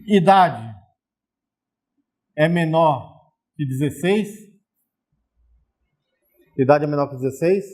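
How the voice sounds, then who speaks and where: A man's voice: fairly high pitch, slow, long pauses, a little mellow. A man teaching programming in a recorded distance-learning lesson, explaining step by step and often checking in with viewers. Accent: Brazilian Portuguese.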